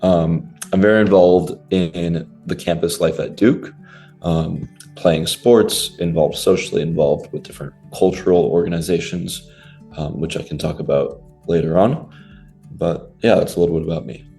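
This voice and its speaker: A man's voice: deep voice, steady tone, normal speed, gravelly, mellow, faint music behind. A young man from Denver who grew up speaking Russian at home, a college student introducing himself earnestly in a webinar. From Denver, Colorado, USA. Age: young adult.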